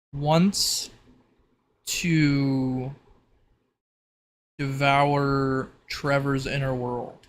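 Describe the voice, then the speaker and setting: A man acting as game master, improvising narration for a tabletop roleplay in a halting, think-aloud manner. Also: mid-range voice, fairly bright tone.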